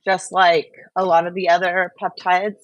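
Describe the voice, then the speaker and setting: Female host, slightly low voice, dry close sound, informal conversational delivery. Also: level tone, moderate pace, no echo, highs cut off. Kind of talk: casual chat.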